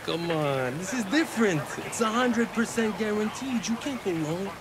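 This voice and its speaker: An actor playing a chummy, pushy street betting hustler in a video game, with slangy, cajoling patter. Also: male voice, on the high side, expressive, takes it slowly. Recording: loud street and vehicle noise.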